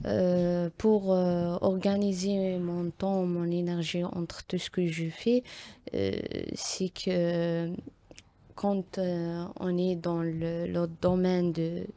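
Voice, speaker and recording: A young woman from Algeria speaks, her being Tamazight. Her voice sits low and barely moves in pitch, with a soft, dark tone. She answers interview questions haltingly, full of fillers and restarts. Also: flat delivery. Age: young adult. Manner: hesitant, frequent fillers and restarts. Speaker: guest author.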